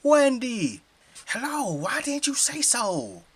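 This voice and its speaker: A man's voice: very high-pitched, wildly swooping pitch, slow pace, slightly rough, heavily acted. An actor playing a friendly, folksy middle-aged family man with an American Southern accent in an audio drama. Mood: jovial, welcoming. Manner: effusive, folksy, hospitable.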